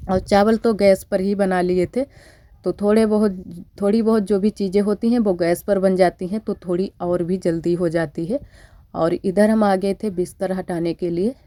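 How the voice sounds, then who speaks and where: A woman's voice: medium pitch, fairly even intonation, moderate pace, mellow, no echo. A young woman vlogging her village household chores in a casual, homely way, her voice slightly thickened from a cold. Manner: chatty, homely, repeats fillers. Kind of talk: casual narration over daily chores. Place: village home.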